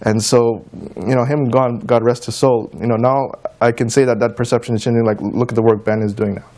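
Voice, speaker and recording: A man with a mid-pitched voice talks as an interview guest. He speaks informally and tells things as anecdotes, in a loose conversational way. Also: flat delivery, brisk, darkish tone. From United States, of Trinidadian and Guyanese descent. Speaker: interview guest, tabla player and teacher.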